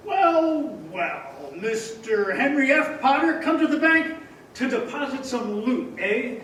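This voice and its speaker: Scatterbrained excitable old uncle, male actor, very high voice, stammering, radio play in a heavily echoing hall. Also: takes it slowly, full character voice. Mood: cheerful, gloating. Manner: stammering, flustered. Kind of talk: old-time radio play acting on stage. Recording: distant echoey stage recording, faint noise.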